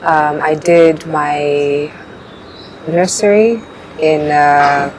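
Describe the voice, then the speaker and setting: A young woman in her twenties, born in Uganda, answering interview questions in a relaxed way with frequent fillers. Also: sits low, very slow. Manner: relaxed, laughs often, hesitant fillers. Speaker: pageant contestant guest. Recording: noisy background.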